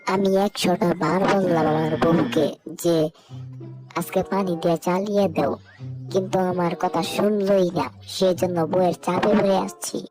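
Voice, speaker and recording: A woman's voice: fairly low pitch, fairly even intonation, normal speed, close to an everyday voice, rough recording. A woman voicing an aggrieved, henpecked village husband in a comic cartoon, complaining earnestly with a Bangladeshi accent. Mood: offended. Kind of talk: amateur comic cartoon dubbing. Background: loud music.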